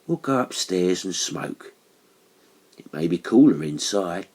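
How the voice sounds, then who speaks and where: A man's voice: low-pitched, animated, a little slow, darkish tone, full character voice. A man reading an audiobook horror story, playing an earnest working-class stonemason with a serious, matter-of-fact manner. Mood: grave, earnest. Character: Atkinson, earnest working-class male monumental mason.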